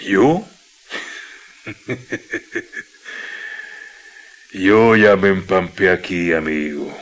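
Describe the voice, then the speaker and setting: An actor playing a warm, jovial Chilean miner in his fifties, talking in a folksy, colloquial way for a radio drama. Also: on the low side. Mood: resigned, wry. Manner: folksy, drawn-out colloquial speech.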